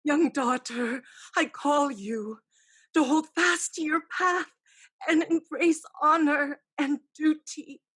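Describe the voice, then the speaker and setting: A woman playing an eerie ancestral spirit who is secretly a scheming sorceress, calling in a drawn-out, incantatory way in a staged reading. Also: high voice, a bit bright. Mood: solemn, summoning.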